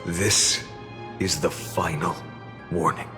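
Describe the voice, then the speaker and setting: An actor playing a cold, weary warrior who has outlived countless lifetimes, solemn and menacing in a dramatic game style. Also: male voice, low voice, steady tone, slow pace. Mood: grim resolve. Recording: long reverb under music.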